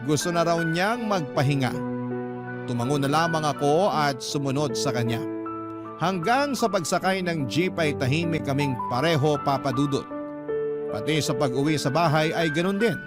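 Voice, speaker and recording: Radio drama narrator: man as regretful, jealous Filipino spouse, slightly high voice, prominent music underneath. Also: lively intonation, moderate pace, natural voice. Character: regretful, jealous spouse confessing a love story. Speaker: narrator reading a letter sender's story. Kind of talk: scripted first-person radio drama narration.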